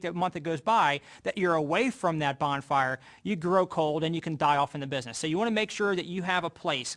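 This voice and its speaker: Male sales trainer, higher-pitched voice, rapid delivery, emphatic motivational coaching with repeated key phrases. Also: fairly bright tone. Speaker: sales team leader and trainer.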